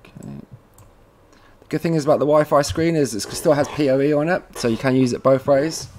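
A man presenting a casual, informal step-by-step software tutorial, talking to viewers like mates as he works at a computer. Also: on the high side, fairly quick, slight background noise. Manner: informal, addresses viewers as guys.

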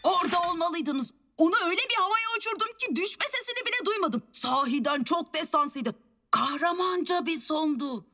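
Bright, high-pitched cocky boy. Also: animated, a little fast, exaggerated voice. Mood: boastful. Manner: boastful, brash. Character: cocky, impatient ten-year-old boy hero. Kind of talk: dubbed cartoon acting.

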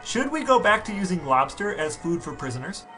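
A man trades dry, joking banter as a podcast co-host alongside his brother. His voice is somewhat high for a man. Faint music sits quietly behind him. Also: very animated, fairly quick. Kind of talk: casual chat.

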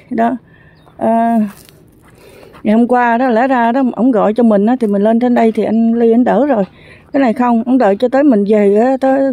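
Woman, casual vlog, rambling site-tour talk, slightly high, mellow tone, outdoors on a phone. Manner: chatty, rambling, many fillers. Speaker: vlogger touring a construction site. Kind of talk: casual walking commentary.

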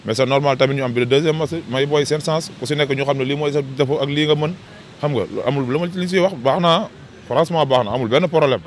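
Opinionated male fan, quick delivery, outdoor street, handheld mic. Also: on the high side. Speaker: football fan interviewed. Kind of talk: street interview.

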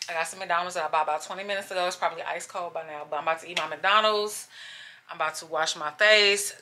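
A woman's voice: on the low side, sharp tone. A young adult woman, chatting casually and gushingly to the camera in a travel vlog. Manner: gushing, chatty, addresses viewers as y'all. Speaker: travel vlogger.

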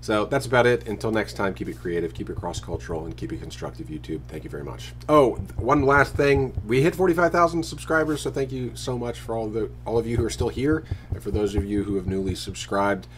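A man in his thirties talking casually to a handheld camera at arm's length, relaxed and rambling. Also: lowish voice, fairly quick, few pauses, a little hiss or hum. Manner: relaxed, rambling, self-deprecating. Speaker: vlogger. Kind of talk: casual vlog talk to camera. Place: porch and living room of a house, then dark room.

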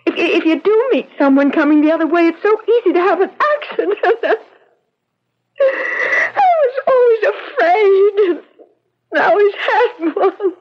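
A woman playing an anxious, loving, fretful wife in a radio drama, with emotional, halting delivery. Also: very high voice, lively intonation. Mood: distraught. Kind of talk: 1970s radio drama acting. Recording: muffled old radio broadcast.

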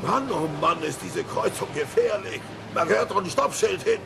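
An actor playing a bumbling, hot-headed middle-aged cartoon father with a gravelly voice and blustering, emphatic delivery. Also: high voice, animated, normal speed, music in the background. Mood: indignant. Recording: noisy old cassette dub.

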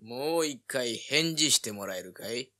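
An actor playing a menacing yakuza enforcer in an audio drama, smug and mock-polite. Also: male voice, medium pitch, animated, unhurried, pushed and bright. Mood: smug threat.

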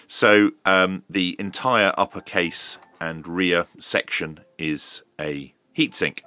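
A man's voice: low voice, slow, bright, forceful tone. A man casually narrating a hands-on product unboxing and review in an informal, running commentary. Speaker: host of a radio review channel.

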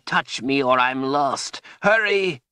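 A man's voice: fairly high pitch, sing-song, a little slow, bright, forceful tone, no room sound, pitched above the performer's own voice, exaggerated voice. A man voicing an old male wizard in a fantasy audiobook, gasping and emphatic, with a proud urgency. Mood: desperate.

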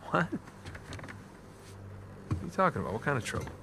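An actor playing an earnest American adventurer in his thirties in a video game, speaking informally with halting stammers. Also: medium pitch, sing-song, creaky, noisy background. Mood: concerned. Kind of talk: naturalistic cinematic game acting.

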